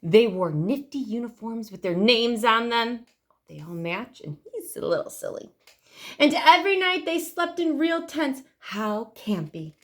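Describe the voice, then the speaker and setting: A woman reads a children's picture book aloud as a storytime host. Her voice is fairly high and very lively in pitch, with deliberate, drawn-out emphasis on key words. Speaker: library storytime reader.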